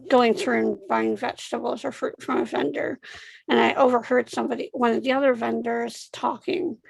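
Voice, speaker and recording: Gravelly Canadian woman, dry sound. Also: fairly high pitch, no echo, rough recording. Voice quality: says she has a speech impediment. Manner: relaxed, self-deprecating, laughs easily. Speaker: guest. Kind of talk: casual chat.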